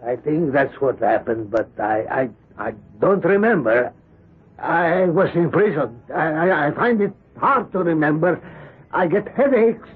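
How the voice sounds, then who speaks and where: An actor playing a nervous, defensive Polish immigrant bodyguard on trial, halting and stammering in 1970s radio drama style. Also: male voice, on the high side, lively intonation, husky. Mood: troubled, confused. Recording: muffled lo-fi 1970s radio recording.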